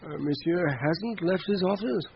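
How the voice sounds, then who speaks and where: A man's voice: high-pitched, expressive, normal speed, creaky. A man playing a discreet, hesitant butler in a radio drama, speaking with careful deference. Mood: deferential, uneasy. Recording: muffled, lightly noisy radio recording.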